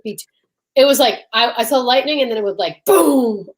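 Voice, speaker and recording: A woman co-hosting a live comedy stream in casual banter, playful and excitable, repeating phrases for emphasis. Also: fairly high pitch, normal speed.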